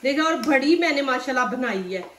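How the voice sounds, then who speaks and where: A woman's voice: high voice, animated, fairly quick, roomy sound, far from the mic, background noise. A woman with a Pakistani Urdu accent hosting a cooking demonstration at home, chatty and instructive, often saying religious phrases. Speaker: cooking channel host. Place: home kitchen.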